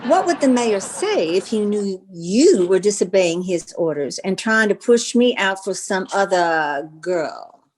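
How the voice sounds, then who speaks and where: A woman's voice: medium pitch, animated, unhurried, darkish tone, poor audio. Woman as wheedling coquette, teasing, leisurely pace, swooping intonation, old-time radio comedy. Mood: coy, scheming. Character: coquettish, scheming woman wheedling her beau.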